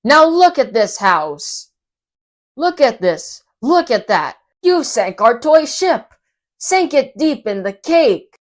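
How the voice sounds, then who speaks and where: A fussy little storybook fish played by a woman scolds and objects indignantly. The voice is raised in pitch, animated and slow enough for every complaint to land. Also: exaggerated voice. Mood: scolding. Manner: scolding, exclamatory.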